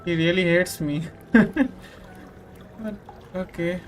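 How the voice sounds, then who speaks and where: A woman's voice: lowish voice, very slow, soft background music. A woman streaming a game, reacting casually in a blunt, offhand mutter.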